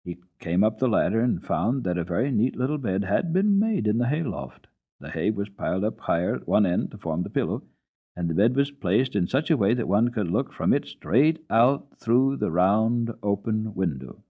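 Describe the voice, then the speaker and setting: A man's voice: on the low side, sing-song, moderate pace, husky. A man giving a dramatic, folksy reading of a children's book as a radio storyteller, on a muffled archival recording. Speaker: storyteller, radio program host.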